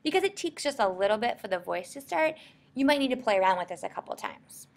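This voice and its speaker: An American woman narrating a software tutorial in an informal, explanatory way. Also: fairly high pitch, sing-song, fast, creaky. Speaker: software instructor.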